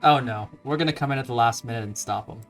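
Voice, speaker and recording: Guy doing chill let's play commentary, amused, thinking out loud, bit of game music behind. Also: medium pitch, quick pace. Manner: informal, amused, thinking aloud. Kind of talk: casual gameplay reaction commentary.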